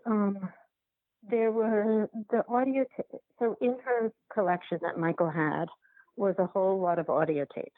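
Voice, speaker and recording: A woman filmmaker answering interview questions in a halting, reflective way, often circling back to rephrase, over a phone-like line. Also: fairly low pitch, no room sound. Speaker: documentary filmmaker being interviewed.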